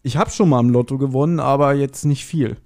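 A man's voice: mid-range voice, a little fast, a little mellow. A man co-hosting a podcast, recapping a story in casual, chatty conversation. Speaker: podcast co-host. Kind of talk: casual chat recapping an audio drama episode.

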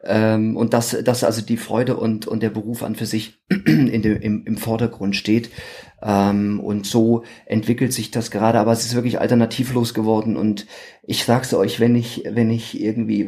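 A man in his forties with a Thuringian German accent, a podcast guest chatting casually and haltingly with many fillers and restarts. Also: fairly low pitch, little pitch movement, dark tone. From eastern Germany. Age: 40s. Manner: hesitant, many ums and restarts. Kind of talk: casual interview chat.

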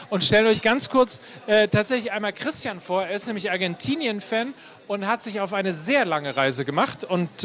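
Guy hosting a live footy show, pretty high voice, jokey and quick. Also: fairly bright tone. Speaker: podcast host. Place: live event.

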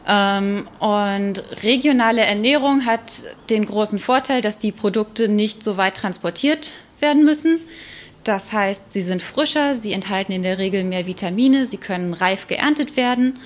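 A woman speaking as an expert guest, explaining spontaneously in an interview in a measured way with hesitant pauses. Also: mid-range voice.

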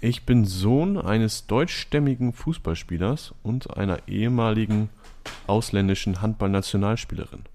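A young man hosting a podcast quiz, reading out clues in a calm, measured way. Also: fairly low pitch. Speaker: quiz host.

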